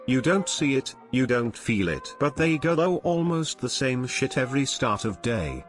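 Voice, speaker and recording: A man's voice: medium pitch, very animated, moderate pace. A man reading online stories aloud as a scripted narration. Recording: music bed behind voice. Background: background music.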